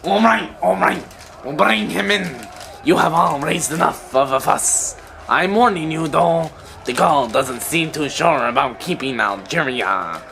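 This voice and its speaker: A man's voice: a little high, lively intonation, measured pace, gravelly, music in the background. A man voicing an indignant, blustering French hardliner in an amateur puppet show. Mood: stern warning. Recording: faint noise and clicks.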